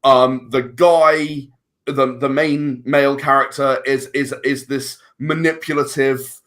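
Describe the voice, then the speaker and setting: Halting man with a bright voice. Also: medium pitch, flat delivery. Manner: halting, rambling, repeats words as he searches for phrasing. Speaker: interview guest, filmmaker and audio drama writer. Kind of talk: casual podcast conversation.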